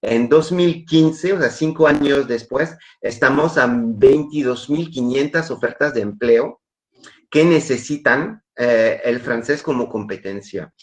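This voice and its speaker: Man, French accent, webinar speaker, mid-range voice, halting delivery, repeats words, video call sound. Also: medium pitch. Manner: hesitant, many repeated words. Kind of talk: webinar talk.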